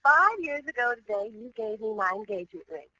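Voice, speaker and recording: Sweet american fiancee, high bright voice, old dull radio comedy sound. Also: female voice, very animated, brisk. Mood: affectionate. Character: sweet, devoted young fiancée. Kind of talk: 1940s radio comedy acting.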